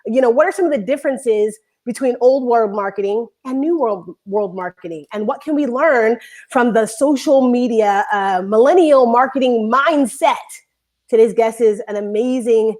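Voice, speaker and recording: Woman, talk show host, high voice, bubbly, chatty, live broadcast. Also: darkish tone. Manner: bubbly, rambling, quick bursts of speech.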